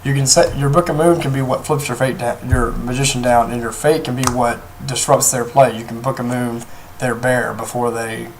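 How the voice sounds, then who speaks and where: A young man talks in a hoarse, worn voice, his pitch held flat and even. He rambles casually with frequent ums and restarts while going over a card deck. A faint hiss of room noise sits under him. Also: moderate pace, few pauses, poor audio. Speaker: card game deck reviewer. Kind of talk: casual deck profile talk. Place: indoors at a table, off camera.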